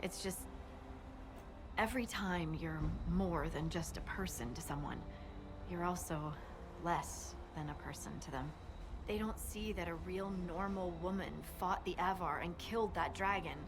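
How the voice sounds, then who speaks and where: An actress playing a cheerful, modest scout in a fantasy video game, speaking informally with wry friendliness. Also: female voice, on the low side, normal speed, music in the background, natural voice. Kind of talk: video game dialogue acting.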